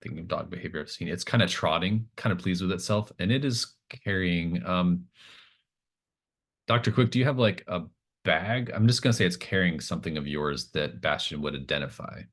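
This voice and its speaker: A man acting as game master, narrating a tabletop roleplaying game casually and thinking aloud with hesitant pauses. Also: sits low, a bit bright.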